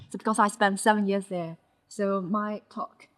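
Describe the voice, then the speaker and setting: A woman in her thirties from mainland China, a Chinese speaker with a Chinese accent touched by Scouse, giving a conference talk in measured short phrases. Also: mid-range voice, steady tone. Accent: Chinese with a Liverpool (Scouse) tinge. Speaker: conference presenter. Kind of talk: personal storytelling talk.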